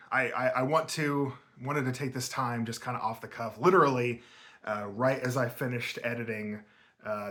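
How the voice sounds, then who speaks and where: A man hosting his own channel, giving an off-the-cuff, halting and rambling talk to viewers. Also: medium pitch, fairly bright tone. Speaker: channel creator and host. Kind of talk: off-the-cuff thank-you talk to camera.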